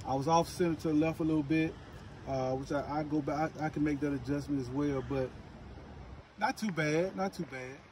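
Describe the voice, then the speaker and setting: A man's voice: on the high side, a little fast, noisy background. A middle-aged man casually talking through his archery shots outdoors on a wooded range, recorded on a phone camera a few feet away. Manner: relaxed, chatty, explains his shots. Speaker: father shooting archery. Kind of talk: casual outdoor vlog talk.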